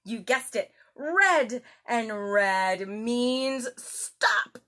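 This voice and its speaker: Woman, 30s, children's story time presenter. Bright, very lively intonation, encouraging and exaggerated delivery. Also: fairly high pitch, extremely slow. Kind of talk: children's lesson, addressing young viewers directly. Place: small indoor room at home.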